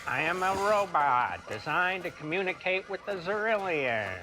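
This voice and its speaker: A man voicing a formal, excitable translator robot with theatrical old-comedian bluster in a sci-fi parody sketch. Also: sits high, moderate pace, pitched above the performer's own voice.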